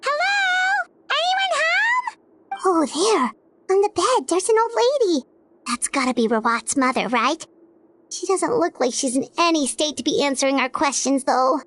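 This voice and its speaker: Very high squeaky childlike fairy companion. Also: female voice, big pitch swings, unhurried, a bit bright, exaggerated voice. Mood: curious. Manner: refers to self in third person, earnest. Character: small chirpy childlike floating fairy companion, female. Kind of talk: video game voice acting. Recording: music behind the voice.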